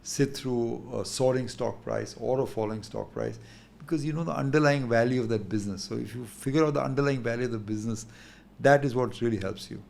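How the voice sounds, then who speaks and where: A man in his sixties with an Indian English accent, talking thoughtfully as a guest in an unhurried interview. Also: mid-range voice, gravelly, darkish tone. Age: 60s. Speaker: guest investor. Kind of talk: candid interview talk.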